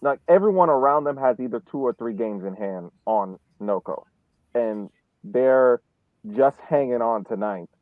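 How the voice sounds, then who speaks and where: A man chatting casually about sports as a podcast panelist, halting and repeating his words. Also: medium pitch, animated, dry recording. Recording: tinny, phone-like dry line.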